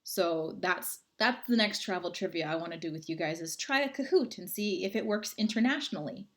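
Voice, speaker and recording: A woman's voice: on the low side, lively intonation, a little fast, a bit bright. A woman, chatting casually with viewers on a livestream from home and reading out their comments. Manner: chatty, friendly, rambling. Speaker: livestream host. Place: home, indoors.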